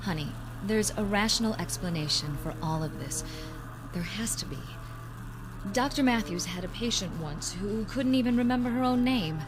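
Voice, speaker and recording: An actress playing a worried, loyal young fiancée in a radio drama, earnest and concerned. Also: female voice, medium pitch, lively intonation, normal speed, natural voice. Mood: soothing, reasoning. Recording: music behind the voice.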